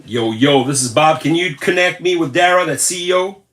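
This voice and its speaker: A man's voice: fairly high pitch, lively intonation, normal speed, a little hiss or hum. A man playing an angry, fed-up male caller who rants and curses down the phone, repeating himself. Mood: ranting. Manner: angry shouting, cursing, repetitive.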